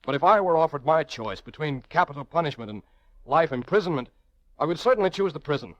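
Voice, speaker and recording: An idealistic young lawyer in an old radio play, proud and stubborn. His fairly high voice moves animatedly as he insists on each point. It is recorded dry, with little room sound. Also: animated, quick pace, a bit bright, dry recording, highs cut off. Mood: earnest, insistent. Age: young adult. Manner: earnest, insistent. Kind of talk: old-time radio drama acting.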